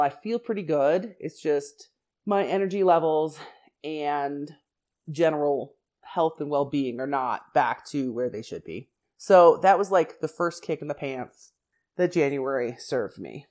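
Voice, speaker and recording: A woman hosting her own podcast, chatting casually and rambling with asides, her voice a little raspy and congested from a recent flu. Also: low voice, darkish tone. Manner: chatty and rambling, many "like" and "you know" asides. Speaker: podcast host.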